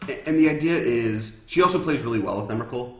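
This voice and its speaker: A man's voice: mid-range voice, lively intonation, fast, slight background noise. A man explaining fantasy game lore in casual conversation. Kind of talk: casual chat, explaining a story. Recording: echoey room.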